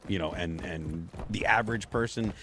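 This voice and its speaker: A man co-hosting a casual political chat, measured and searching for words. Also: sits low. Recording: loud noise under the voice.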